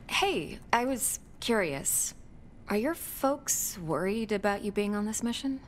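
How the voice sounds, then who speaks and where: A woman's voice: mid-range voice, lively intonation, unhurried, a little hiss or hum, natural voice. An actress playing a capable, curious woman who serves as a mission specialist in a scripted sci-fi video game. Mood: casual, curious. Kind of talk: scripted video game acting, log narration and dialogue.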